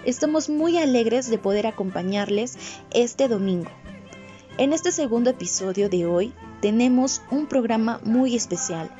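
A woman's voice: a little high, expressive, measured pace, dark tone, soft background music. A woman with a Peruvian accent hosting a podcast, reading a scripted programme introduction. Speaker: podcast host. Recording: dull lo-fi voice recording.

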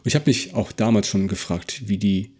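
A man's voice: fairly low pitch, moderate pace, darkish tone, breathy edge. A man talking casually as a podcast co-host about an audio drama, with thoughtful, somewhat hesitant phrasing.

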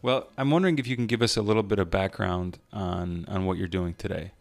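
A man hosting an interview podcast, introducing a guest and asking relaxed, conversational questions. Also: low voice, a little fast, vocal fry, no room sound. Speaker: podcast host.

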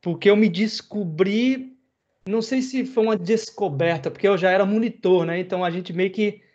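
A man talks in a relaxed, rambling way, full of slang, the way a podcast host chats. His voice sits a little high. The recording sounds dull and lo-fi. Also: moderate pace. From Bahia, Brazil. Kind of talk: casual chat.

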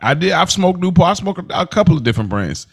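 A man's voice: sits high, big pitch swings, fast talker, vocal fry, crowd noise. A young adult man co-hosting a casual podcast, laid-back and laughing often as he talks, restarting his sentences. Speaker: podcast co-host. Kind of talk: casual chat.

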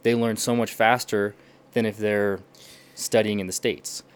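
Animated young man explaining language learning. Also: lowish voice, unhurried, smooth. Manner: animated, explanatory, acts out quotes. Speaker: podcast guest, language learner. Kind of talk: casual podcast chat.

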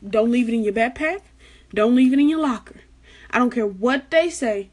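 A soft-spoken young woman with a light, girlish voice that sits a little high. She gives casual vlog advice in a chatty way and repeats her warnings for emphasis. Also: expressive, normal speed. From Arkansas, United States. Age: late teens. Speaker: vlogger giving school advice.

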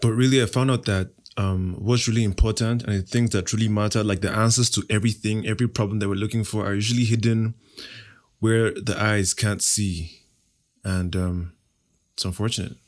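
A man's voice: fairly low pitch, steady tone, fairly quick. A young man from Nigeria, an Igbo speaker, answering interview questions in a confident, playful, self-assured way. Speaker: podcast host, interview guest.

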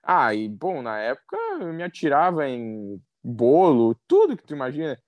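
A young man from southern Brazil with a southern Brazilian accent, a professional athlete talking casually as a podcast guest in a relaxed, easygoing way. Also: medium pitch, lo-fi sound, a little hiss or hum. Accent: southern Brazilian Portuguese. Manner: relaxed, easygoing, drawn-out fillers.

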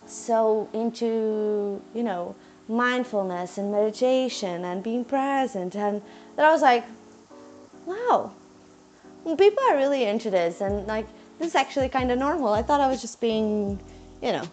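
A young woman with a squeaky, fairly high voice talks through a recipe in her home kitchen. She rambles with many fillers and restarts while consciously slowing her speech. Her voice drifts away from the mic as she moves, with faint music underneath. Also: lively intonation, darkish tone. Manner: deliberately talking slowly. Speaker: cooking vlogger. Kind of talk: casual chat while cooking.

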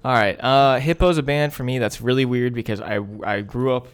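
A man's voice: mid-range voice, fairly even intonation, brisk, smooth. A young man co-hosting a podcast, talking casually with slangy, opinionated remarks. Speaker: podcast co-host. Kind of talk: casual chat.

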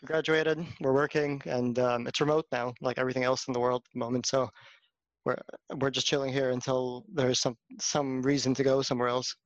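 A man's voice: medium pitch, little pitch movement, a little fast, dry recording. Young man with a dull, dry sound. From California. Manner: hesitant, laughs easily, fillers "um" and "like". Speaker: podcast co-host. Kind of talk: casual podcast chat.

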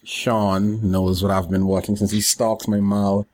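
A man with a fairly low voice co-hosts a podcast. He talks in a casual, rambling way, halting as he gathers his thoughts. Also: little pitch movement, darkish tone. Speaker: podcast co-host. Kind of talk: casual chat about anime and games.

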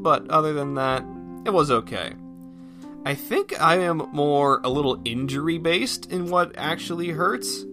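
Animated higher-pitched man, chatting casually. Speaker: podcast host. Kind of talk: casual solo rambling chat.

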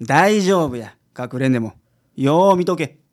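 A man's voice: a little high, animated, a little slow. A man playing an unflappable, easygoing private detective with a Kansai accent, explaining things confidently in a radio drama. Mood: unfazed. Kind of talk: community radio drama acting.

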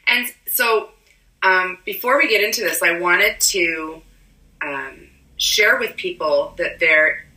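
A woman co-hosting a podcast, hoarse from a cold and coughing now and then, explaining things in a rambling way with many ums. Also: fairly low pitch, slow pace, bright, forceful tone, far from the mic. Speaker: podcast co-host. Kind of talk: casual podcast chat. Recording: roomy echo, a bit off-mic.

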